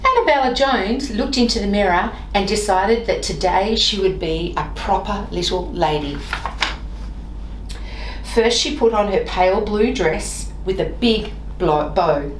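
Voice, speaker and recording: A woman reading a children's storybook aloud in an expressive, deliberate way, with sing-song repeated phrases. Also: mid-range voice, recorded from a distance. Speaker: library staff member reading stories. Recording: roomy echo, off-mic, faint noise.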